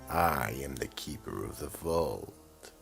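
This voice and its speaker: Man playing an extremely deep, gravelly horror host. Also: expressive, a bit bright, faint music behind, full character voice. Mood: ominous, grand. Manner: slow, dramatic, portentous delivery. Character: Keeper of the Vault, ominous male horror-anthology host. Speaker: host and narrator. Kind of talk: theatrical scripted horror radio narration.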